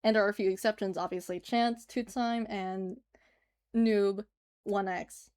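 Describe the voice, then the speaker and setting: A woman's voice: medium pitch, fairly even intonation, measured pace, a little mellow, clean tone. A woman livestreaming as she draws, chatting casually and giggling as she answers her chat. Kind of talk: casual chat while drawing on stream.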